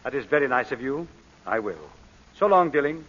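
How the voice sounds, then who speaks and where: A lively-voiced suave gentleman crook. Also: medium pitch, normal speed. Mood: courtly, resolved. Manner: wry, polished, theatrical. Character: suave, philosophical gentleman crook, wry and courtly. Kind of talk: 1940s radio acting. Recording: dull old radio recording, long reverb.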